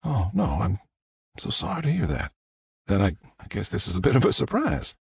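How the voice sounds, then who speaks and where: A man voicing a confident, friendly businesswoman with a smooth Southern US accent in an audiobook. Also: on the low side, sing-song, moderate pace, no room sound, full character voice. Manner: smooth, polite, forthright.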